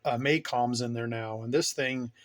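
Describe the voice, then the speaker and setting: A man's voice: medium pitch, fairly even intonation, moderate pace. Middle-aged man, rambling and casual. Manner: rambling, informal enthusiast. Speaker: hobbyist vlogger showing his radio gear. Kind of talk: casual show-and-tell vlog.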